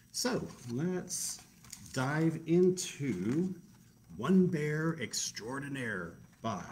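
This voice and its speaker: A man's voice: medium pitch, slight background noise. A man reading a picture book aloud to children in a casual, chatty way, with self-deprecating asides. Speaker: library storytime reader.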